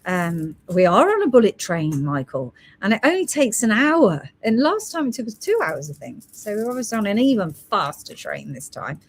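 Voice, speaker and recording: A woman's voice: mid-range voice, very animated, moderate pace, hardly any pauses. A woman hosting a live stream selling gemstone beads, chatting casually and reading out product codes and prices. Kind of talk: casual live sales chat.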